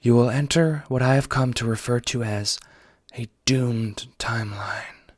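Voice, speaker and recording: A man voicing a blunt female alien troll time traveler in an audio drama, speaking formally and with measured care. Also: mid-range voice, background noise, natural voice. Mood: grave, explanatory. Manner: formal, measured, few contractions.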